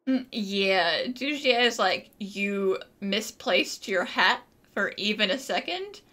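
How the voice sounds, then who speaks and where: A woman's voice: mid-range voice, lively intonation, slow pace, bright, forceful tone. A woman gaming streamer giving casual, playful, joking commentary as she plays. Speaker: game streamer.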